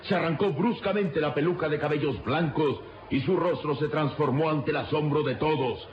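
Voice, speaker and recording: A man's voice: on the high side, normal speed, a little hiss or hum. A man narrating a radio serial from a script in a dramatic style. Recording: dull lo-fi radio serial recording. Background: loud music.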